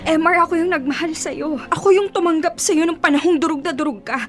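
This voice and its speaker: A woman's voice: very high-pitched, brisk. A woman acting a hurt, jealous young girlfriend in a Filipino audio drama, blunt and emotional. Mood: tearful, hurt.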